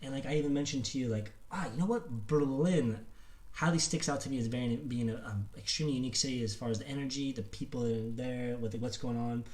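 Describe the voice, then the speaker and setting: A man's voice: medium pitch, fast, darkish tone. Male podcast host in an echoey room. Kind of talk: casual filmed podcast conversation. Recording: roomy, echoing sound.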